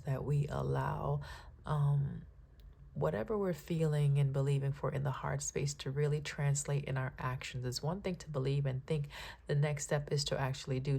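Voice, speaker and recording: A woman with a raspy, froggy early-morning voice, giving a spiritual tarot reading with spoken prayers in a calm, soft-spoken way that often checks in with listeners. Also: sits low. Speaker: tarot reader.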